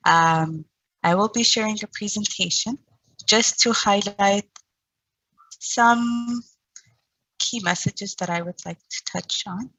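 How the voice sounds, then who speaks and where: Slow, bright-voiced woman who stutters. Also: mid-range voice, muffled recording. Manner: stutters, with pauses and repeated sounds. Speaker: founder of a stuttering support group. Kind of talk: online conference presentation.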